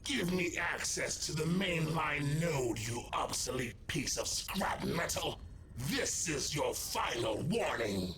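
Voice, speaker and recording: An actor playing an arrogant, aggressive enemy robot in a game, imperious and theatrical. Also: male voice, fairly high pitch, animated, slow, music in the background. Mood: angry demanding.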